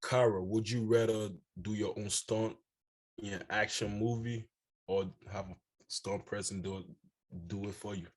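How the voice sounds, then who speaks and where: A teenage boy from Liberia speaking as a youth leader in a soft, measured way. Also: on the low side, fairly even intonation. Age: teens. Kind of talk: scripted youth talk.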